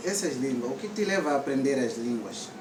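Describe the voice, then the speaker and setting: A man's voice: medium pitch, animated, fairly quick, roomy sound, recorded from a distance, background noise. A man from Mozambique with a central Mozambican accent, hosting a casual vlog chat and switching between English and Portuguese with many fillers. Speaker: vlog host.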